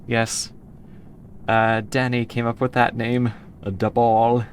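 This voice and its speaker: A woman's voice: extremely low pitch, flat delivery, slow pace, slight background noise. A woman from the United States streaming a game and chatting casually with her viewers, easygoing and rambling as she answers chat between moves.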